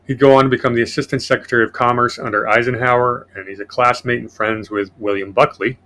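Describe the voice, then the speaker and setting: A man's voice: medium pitch, steady tone, fast, sharp tone. A man hosting a casual political podcast, reading from his notes in a sardonic way. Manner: sardonic, reads notes aloud. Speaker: podcast host.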